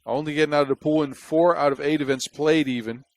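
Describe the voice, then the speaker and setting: A man's voice: fairly high pitch, fairly even intonation, fast, dry recording. A man from the Netherlands with a Dutch accent, giving chatty, wry play-by-play basketball commentary on a close mic. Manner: chatty and wry, with jokes between plays.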